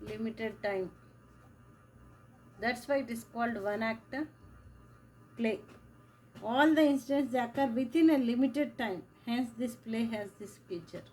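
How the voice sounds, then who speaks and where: A woman teacher giving a slow lecture, repeating key phrases as she explains. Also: on the high side, darkish tone, slight background noise, poor audio. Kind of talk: classroom lecture.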